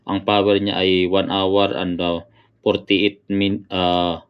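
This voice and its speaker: A man's voice: sits low, little pitch movement, slow, clear, resonant tone, muffled recording. A man giving casual unboxing commentary as a motorcycle vlogger, often hesitating to find words and addressing his viewers.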